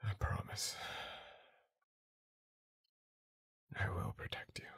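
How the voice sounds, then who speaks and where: Man acting a low, husky whispering bully boyfriend. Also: bright, forceful tone, full character voice. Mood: tender, confessing. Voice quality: breathy, raspy, husky. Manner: halting, guarded, intimate. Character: young man, gruff dominant tsundere bully turned tender boyfriend. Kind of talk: whispered romantic ASMR roleplay.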